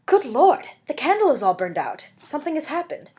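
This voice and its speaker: A woman's voice: high-pitched, sing-song, brisk, a little mellow, pitched above the performer's own voice. A woman voicing a kindly, fretful, exclamatory old working woman in a storybook reading. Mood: alarmed.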